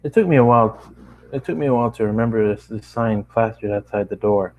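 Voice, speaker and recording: A man's voice: fairly low pitch, quick pace, phone-quality sound. A man narrating a story informally as an amateur reader, often stumbling over words and starting lines again. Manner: informal, stumbling over the text and correcting himself. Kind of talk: read-aloud fan fiction narration.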